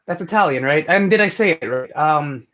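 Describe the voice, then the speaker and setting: A young man with a fairly high voice chats to viewers on a live stream. He rambles, restarts his sentences and fills the gaps with ums and likes. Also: animated, normal speed, a bit bright. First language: English. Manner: rambling, chatty, many fillers and restarts. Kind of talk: casual live commentary chat.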